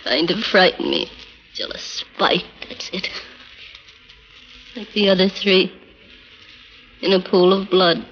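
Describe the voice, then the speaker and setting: A woman's voice: on the low side, slow, pauses often. An actress playing a frightened, frantic married woman in peril, in breathless 1940s radio melodrama style. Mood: terrified, muttering. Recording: muffled, noisy 1940s radio recording.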